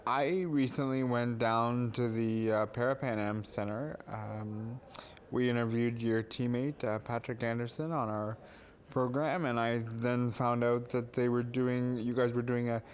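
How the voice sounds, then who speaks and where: A man hosting a podcast, asking interview questions in a measured way with some fillers. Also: medium pitch, steady tone. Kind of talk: scripted intro then interview questions.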